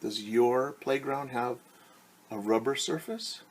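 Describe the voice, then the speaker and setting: A man's voice: mid-range voice, slow pace. A middle-aged man reading a picture book aloud as a teacher, stopping to explain it simply and repeating words for young children. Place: small room at home, indoors.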